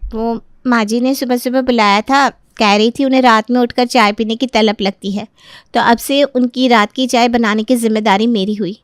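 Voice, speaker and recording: A woman's voice: on the high side, steady tone, normal speed, dry recording, studio quality, close to an everyday voice. A woman playing a defiant, crafty young daughter-in-law who argues and complains in a dramatized moral story. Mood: suspicious. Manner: argumentative, protesting.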